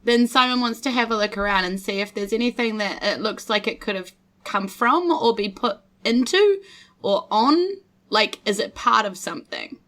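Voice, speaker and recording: A woman's voice: mid-range voice, lively intonation, moderate pace, fairly bright tone. A woman with a New Zealand accent chatting casually as a player in a tabletop game.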